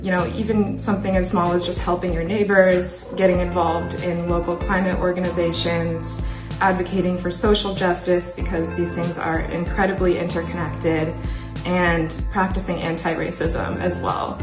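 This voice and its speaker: A woman's voice: on the low side, flat delivery, normal speed. A young woman, a climate change communicator, speaking in a reflective, conversational way on a panel. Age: young adult. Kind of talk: earnest panel talk. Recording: roomy echo with music behind.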